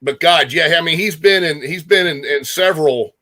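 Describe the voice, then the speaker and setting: Guy hosting a casual music talk show, bright forceful voice, kinda high for a man, repeats himself for emphasis. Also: normal speed. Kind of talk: casual rock music chat.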